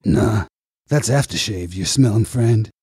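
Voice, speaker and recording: A man's voice: on the low side, unhurried, a little mellow, breathy edge, no echo, clean studio sound. A man voicing a cocky, wisecracking undercover agent in an audiobook, flippant and a little defensive. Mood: cocky bluff. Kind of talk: audiobook character voice.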